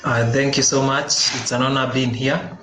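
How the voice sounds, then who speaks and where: Man, from Kenya, panelist, halting formal speech, flat pitch, roomy echo. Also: a little hiss or hum. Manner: halting, many restarts, formal wording. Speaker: panelist, social entrepreneur. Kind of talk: conference panel talk.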